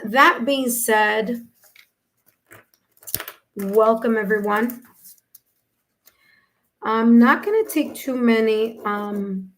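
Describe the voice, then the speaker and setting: A woman hosting a live tarot reading stream, slightly hoarse, chatty and repetitive, checking in with "okay?" as she talks to viewers. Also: on the high side, fairly bright tone. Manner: chatty, repeats herself, tags sentences with "okay?".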